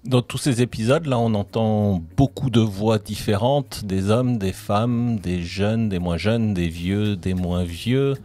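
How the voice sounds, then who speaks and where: Low-voiced male radio interviewer. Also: takes it slowly, few pauses, mellow.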